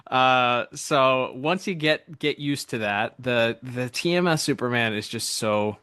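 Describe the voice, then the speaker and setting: A man's voice: mid-range voice, measured pace, bright, forceful tone, clean tone, no echo, studio quality. A man co-hosting a podcast in a casual, enthusiastic review chat, speaking informally. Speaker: podcast co-host.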